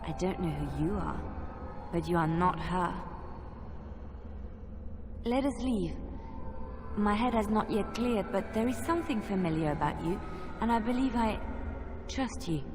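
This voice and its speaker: A woman's voice: mid-range voice, lively intonation, unhurried, long pauses, close to an everyday voice. A woman playing an earnest, devout young adventurer in a fantasy video game, sincere and resolute. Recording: long reverb with music behind. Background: music.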